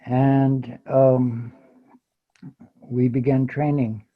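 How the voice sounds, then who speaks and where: A man in his seventies sharing an unscripted personal reminiscence at an online memorial, halting with long pauses between words. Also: mid-range voice, level tone. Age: 70s. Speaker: memorial speaker. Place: online video call. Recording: dull, lo-fi online call audio.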